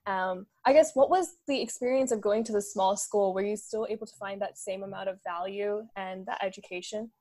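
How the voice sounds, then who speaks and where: Podcast host: young woman, medium pitch, informal conversational interviewer, attentive. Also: fairly quick, hardly any pauses, darkish tone. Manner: informal, attentive backchannels, frequent "like".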